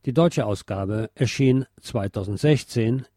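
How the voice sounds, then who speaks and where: A man reading scripted history narration as a podcast host, in a measured, factual documentary manner. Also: medium pitch.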